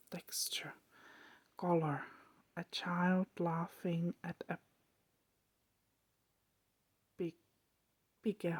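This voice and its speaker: Weary old vampire man, played by a woman: brooding, poetic, breathy ASMR whispering, close and dry. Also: fairly low pitch, no room sound, full character voice. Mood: reflective, wondering. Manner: slow, hushed, halting.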